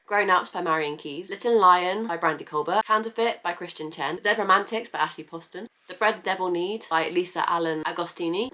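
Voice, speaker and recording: A young woman talks through her year of books in a relaxed vlog manner, her voice a touch low. A cold leaves her sounding stuffy and bunged up. She reels off title after title in a steady stream. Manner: chatty, listing titles in a steady run. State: congested from a cold. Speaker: book vlogger. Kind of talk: casual reading-recap vlog. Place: at home.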